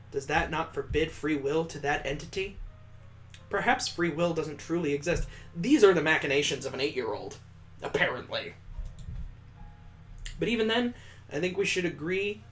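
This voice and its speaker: Higher-voiced man reading a game script, noisy background. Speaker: gaming video host. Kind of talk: reading visual novel text aloud with off-the-cuff commentary.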